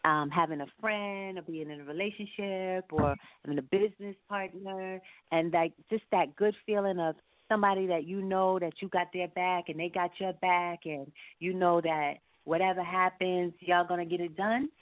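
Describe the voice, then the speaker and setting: A woman with a fairly low voice talks through a thin, phone-like connection with very little room sound. She is an interview guest, speaking casually and thoughtfully, pausing as she finds her words. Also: steady tone, no room sound. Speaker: guest, R&B singer. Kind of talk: casual radio interview, giving advice. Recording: tinny, phone-like narrow-band sound.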